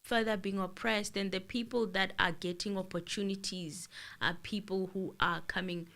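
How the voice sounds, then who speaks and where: A woman's voice: medium pitch, steady tone, normal speed, fairly bright tone. A woman co-hosting a casual talk show and relaying a caller's story. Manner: relaying a story, supportive interjections. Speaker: co-host.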